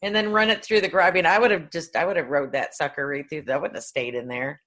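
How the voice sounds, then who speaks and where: A woman's voice: sits low, steady tone, quick pace, fairly bright tone. A woman co-hosting a podcast, discussing films casually in a blunt, opinionated, colloquial way. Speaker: podcast co-host.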